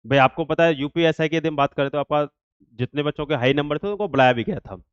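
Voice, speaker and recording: Dull-sounding recording of a higher-pitched man. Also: quick pace, clean tone, no echo. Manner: repetitive, filler-heavy explaining with habitual verbal tics. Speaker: exam update channel host and coach. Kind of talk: informal explanatory update addressed to students.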